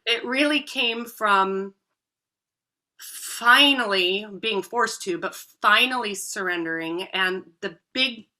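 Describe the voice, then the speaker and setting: Woman voice coach, 30s, bright tone; sincere guest on a podcast interview. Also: medium pitch, slow. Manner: earnest, heartfelt storytelling.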